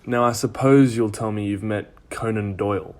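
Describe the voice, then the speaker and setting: Guy acting as level headed detective, lowish voice, dry and measured, audio drama style. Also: steady tone, natural voice. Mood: wry, calm. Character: level-headed, sceptical male police detective.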